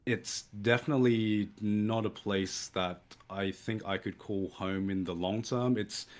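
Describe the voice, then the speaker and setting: A man's voice: fairly low pitch, steady tone, unhurried. A young British man from England, talking as a guest in a casual conversation, hesitant and searching for his words with many restarts.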